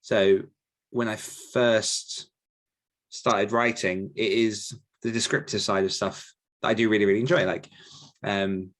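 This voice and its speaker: A man speaking casually and reflectively as an author being interviewed, often pausing to search for his words. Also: fairly low pitch, fairly even intonation, fairly bright tone. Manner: thoughtful, halting, searching for words. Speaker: podcast guest author answering questions.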